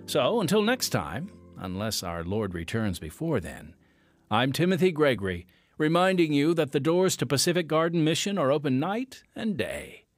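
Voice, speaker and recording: An American man reads scripted ministry narration as a radio host. His pitch swings expressively through earnest, measured phrases, and music plays behind his voice. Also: big pitch swings, dry recording, studio quality. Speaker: radio show host and announcer.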